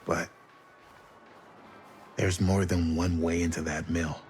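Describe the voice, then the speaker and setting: A man playing a low-key character in a video game, speaking in an understated, confiding way. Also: flat delivery, slow, a little mellow, soft background music. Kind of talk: naturalistic video game acting.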